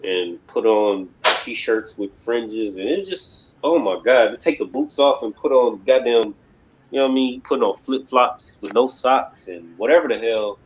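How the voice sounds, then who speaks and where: Guy teaching a religion class, keeps circling back and repeating himself, mid pitch, dull lo-fi sound. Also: measured pace, a little hiss or hum. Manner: rambling, emphatic, repeats "you know what I mean". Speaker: class instructor.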